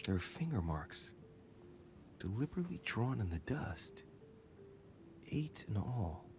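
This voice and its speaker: An actor playing a brooding young man in a video game, investigating clues and deliberately musing aloud to himself. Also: very animated, over music. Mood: intent, musing. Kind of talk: dramatic video game acting, reading aloud. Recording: low rumbling background noise.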